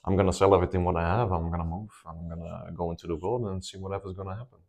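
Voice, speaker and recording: A man's voice: sits low, fairly even intonation, racing, gravelly, darkish tone. A man, a guest speaking in a casual interview, hesitant with many ums and restarts. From Netherlands.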